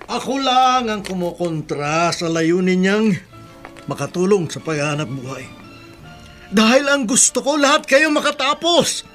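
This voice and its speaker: High-voiced, earnest Filipino father. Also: sharp tone, heavily acted. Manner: earnest, emotional, pleading. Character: self-sacrificing, doting poor father of three. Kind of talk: Filipino radio drama acting.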